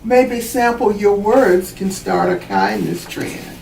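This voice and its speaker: A woman's voice: medium pitch, wildly swooping pitch, slow pace, darkish tone. A woman voicing an earnest, pleading young child in a children's storybook. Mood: earnest resolve. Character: earnest young child learning to speak kindly. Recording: roomy, distant mic, faint noise.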